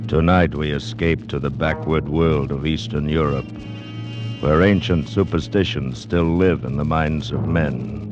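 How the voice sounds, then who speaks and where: Very deep, ominous radio announcer. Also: male voice, fairly even intonation. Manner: portentous, dramatic, deliberate. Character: ominous announcer luring listeners into nightmare tales. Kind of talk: 1940s radio suspense introduction. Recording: muffled old radio broadcast with music.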